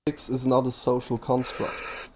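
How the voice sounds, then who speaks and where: A man from Switzerland with a Swiss German accent, reading a prepared presentation in a measured way. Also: mid-range voice, completely flat delivery, slight background noise. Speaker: video presenter. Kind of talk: scripted presentation.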